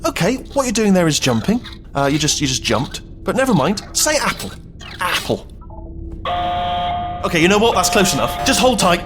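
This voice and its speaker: A chatty little British robot helper in a video game. His high, very animated voice stammers, blurts things out and backtracks in a panicky, bumbling way. Music plays clearly behind him. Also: normal speed, long pauses. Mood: nervous, chatty. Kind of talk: comic video game character acting.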